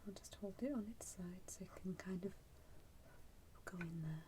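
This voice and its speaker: A woman doing an ASMR roleplay as a shopkeeper, whispering breathily and gently reassuring the listener as she narrates each step. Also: fairly low pitch, moderate pace, a little hiss or hum. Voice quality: breathy, mostly unvoiced whisper. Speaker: ASMR roleplay performer playing a soup shop keeper.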